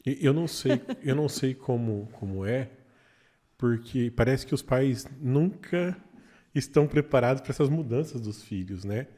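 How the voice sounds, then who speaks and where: A man's voice: medium pitch, expressive, moderate pace, dark tone. A Brazilian man in his thirties hosting a podcast, joking and laughing easily with his guest. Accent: Brazilian Portuguese. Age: 30s. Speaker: podcast host. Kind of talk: casual podcast interview.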